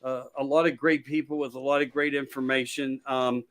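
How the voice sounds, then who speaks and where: A man's voice: mid-range voice, little pitch movement, brisk, a bit bright. A man from Pennsylvania, a podcast host appearing as a guest, talking politics in a rambling, emphatic way and repeating his key phrases. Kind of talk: casual political chat.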